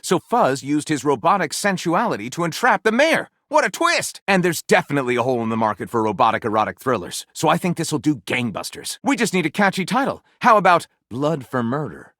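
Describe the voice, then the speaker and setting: A man playing an excitable, sardonic, self-pleased pulp author in a comedic video game, with a dramatic, wry delivery and flippant asides. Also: on the high side, a little fast, few pauses, dry recording, studio quality. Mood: enthusiastic, flippant. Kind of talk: theatrical comedic game dialogue, mock-literary narrating.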